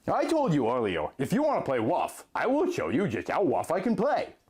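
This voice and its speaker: A man's voice: a little high, wildly swooping pitch, moderate pace, darkish tone. A man voicing a young, sneering, evil male shadow double in a fan story reading, with a taunting, menacing delivery.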